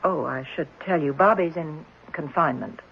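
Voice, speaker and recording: A matter-of-fact orphanage matron, muffled recording. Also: female voice, low voice, moderate pace, vocal fry, breathy edge, full character voice. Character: stern, matter-of-fact female orphanage administrator. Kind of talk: 1970s radio drama acting. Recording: muffled, noisy vintage radio recording.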